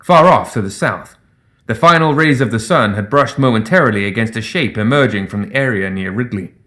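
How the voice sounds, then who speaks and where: A man's voice: on the low side, expressive, brisk, a bit bright. A man reading scripted fiction as an audiobook narrator.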